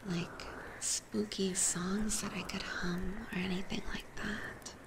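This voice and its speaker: A woman streamer whispering softly and gently in a casual ASMR chat with viewers, close on a binaural microphone. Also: medium pitch, flat delivery, slow, background noise. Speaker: streamer hosting a live ASMR roleplay stream.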